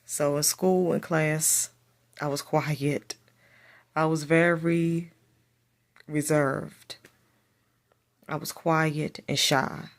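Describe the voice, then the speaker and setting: A woman's voice: sits low, fairly even intonation, very slow, long pauses. A woman who speaks with a stutter, telling a personal story to camera and repeating her phrases as she goes. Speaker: vlogger.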